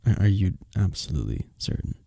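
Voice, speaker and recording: A man playing a courteous, smitten young village innkeeper in an intimate romantic fantasy roleplay, speaking softly and breathily with formal, bashful phrasing. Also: deep voice, sing-song, fairly quick, creaky, slightly rough, no room sound, exaggerated voice. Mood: earnest, admiring.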